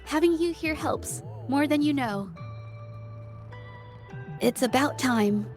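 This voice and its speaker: A woman's voice: high-pitched, animated, takes it slowly, soft background music. A female actor playing a shy, earnest young artist with a hesitant, timid, polite way of talking. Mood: nervous, grateful.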